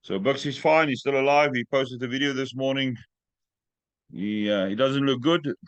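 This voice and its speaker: A man's voice: mid-range voice, clean tone. A man hosts an online group call in English, a language he did not grow up with. He talks bluntly and plainly, sometimes stumbling over words. The sound is muffled and dry, with no room echo. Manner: direct, plain-spoken, halting. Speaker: host. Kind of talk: casual group call chat.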